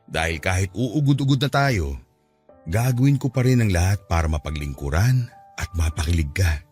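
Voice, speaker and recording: A man's voice: low-pitched, animated, moderate pace. A man playing a middle-aged farmer, a strict but doting husband and father, in a radio drama. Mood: sweet, playful. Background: soft music bed.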